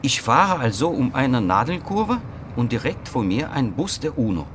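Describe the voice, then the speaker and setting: Actor as agitated, death-haunted man, lively pitch. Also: moderate pace, noisy background, close to an everyday voice. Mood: breathless recounting. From Croatia. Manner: insistent, excitable, dropping into whispers. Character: agitated male patient convinced Death is hunting him. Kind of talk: radio play acting.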